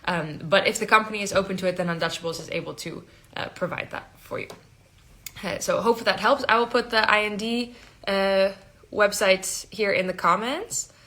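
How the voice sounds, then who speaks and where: A woman with an American accent tinged with Dutch, hosting an informal live Q&A and explaining things helpfully, with hesitant pauses. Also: medium pitch, fairly bright tone. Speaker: recruitment agency staff hosting a live Q&A.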